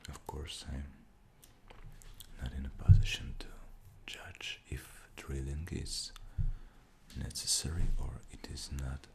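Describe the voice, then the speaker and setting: A man whose first language is Russian, whispering softly in an unhurried ASMR show-and-tell, pausing often with fillers. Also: extremely low pitch, flat delivery, gravelly. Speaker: ASMR creator.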